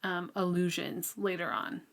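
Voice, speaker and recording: A woman co-hosting a casual book discussion, talking in an informal, thoughtful way with halting phrases. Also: on the low side, creaky, fairly bright tone. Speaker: book podcast co-host.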